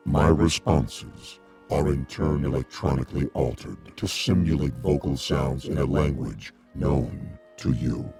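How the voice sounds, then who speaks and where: An actor playing a courteous giant alien emissary in a radio drama, speaking formally with measured, polite detachment. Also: male voice, a bass voice, over loud music.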